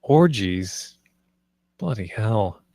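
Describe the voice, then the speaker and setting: A man's voice: fairly low pitch, big pitch swings, extremely slow, creaky, warm tone, dry recording, heavily acted. Hesitant British husband, read by a man, very dry sound. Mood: curious, uneasy. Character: nervous, earnest husband proposing swinging to his wife. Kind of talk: film script table read, naturalistic dialogue.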